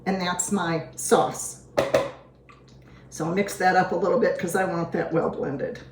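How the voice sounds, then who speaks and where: A woman hosting a home cooking show, a little hoarse, chatting in a homey way as she works through a recipe. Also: on the low side, steady tone, a little slow, slight background noise. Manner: chatty, homey, talks while working. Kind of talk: casual cooking demonstration. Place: home kitchen. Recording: echoey kitchen with clattering dishes. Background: dishes and utensils clinking.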